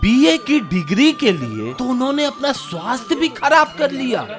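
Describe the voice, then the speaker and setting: A man's voice: very high-pitched, sing-song, normal speed, soft background music. An actor playing a chatty, admiring young village man in a radio drama, talking in a respectful, familiar way. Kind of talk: Hindi radio drama acting.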